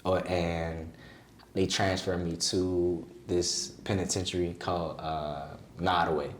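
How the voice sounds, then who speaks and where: A man's voice: sits low, little pitch movement, slow pace, echoey room, a little hiss or hum. A man in his late twenties with a Washington DC area accent, candidly telling his story in a casual podcast interview, using street slang. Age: 20s. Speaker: podcast guest.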